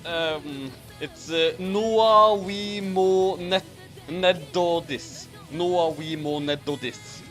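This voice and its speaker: A man giving a halting, theatrical comedic reading of a story as co-reader. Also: on the high side, fairly bright tone. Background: music.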